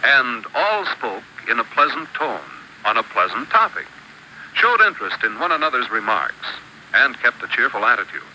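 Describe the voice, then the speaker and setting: A man narrating an old instructional film in a measured, instructive way, setting out rules one by one. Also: medium pitch, very animated, sharp tone, a little hiss or hum, poor audio. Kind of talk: scripted educational narration. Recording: old archival film soundtrack.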